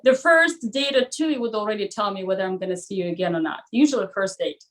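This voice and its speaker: A woman's voice: mid-range voice, quick pace. A woman who speaks English as a non-native language, chatting casually as a podcast guest and retelling stories with quoted dialogue. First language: not English, a non-native speaker. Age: 30s or older. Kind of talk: casual chat, personal anecdotes.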